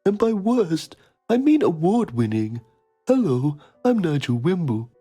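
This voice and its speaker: Man acting a husky, pompous documentary journalist. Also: fairly high pitch, unhurried, soft background music, full character voice. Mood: grave, deadpan. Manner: pompous, deadpan. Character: self-important male TV documentary journalist, solemn and pompous. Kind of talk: mock documentary narration.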